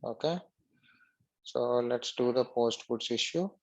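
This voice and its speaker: A man narrating a screen-share software tutorial step by step as an instructor. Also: mid-range voice, slow pace, lo-fi sound.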